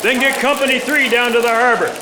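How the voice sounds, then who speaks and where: A man's voice: very high voice, fairly even intonation, a little fast, a crowd in the background. A man playing a harried small-town fire chief barking orders in a radio drama. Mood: urgent, commanding. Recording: very noisy, echoey outdoor-scene sound effects.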